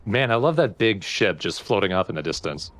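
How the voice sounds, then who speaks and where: A man co-commentating on a video game in relaxed, casual chat. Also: lowish voice, a little fast.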